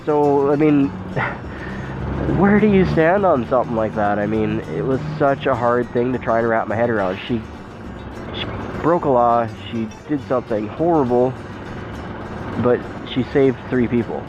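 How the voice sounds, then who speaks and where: A man in his thirties with a head cold and a stuffed-up voice, rambling casually in a vlog with lots of fillers. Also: mid-range voice. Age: 30s. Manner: unhurried, thoughtful, frequent fillers. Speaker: motovlogger. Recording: dull lo-fi sound, loud music behind.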